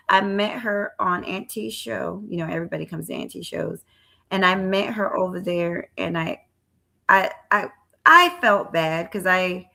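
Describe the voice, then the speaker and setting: Bright-voiced chatty woman in her forties. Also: mid-range voice, creaky. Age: 40s. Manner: chatty, frequent restarts and repeated words. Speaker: live-stream show host. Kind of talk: casual chat on a live stream.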